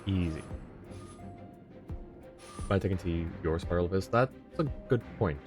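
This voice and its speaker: A man with a low voice gives casual commentary as he plays a game on stream. He mutters to himself as he goes, with game music playing clearly behind him. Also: unhurried. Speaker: game livestreamer.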